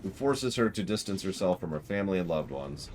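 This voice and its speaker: A man's voice: low voice, animated, a little fast, background noise. A man giving casual live commentary as a game streamer, emphatic and digressive.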